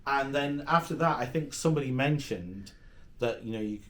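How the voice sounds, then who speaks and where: A man's voice: medium pitch, animated, normal speed. Rambling self-deprecating Yorkshire man. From England. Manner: rambling, self-deprecating, many fillers and restarts. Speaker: interview guest, comedian and project manager. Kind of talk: casual conversational interview.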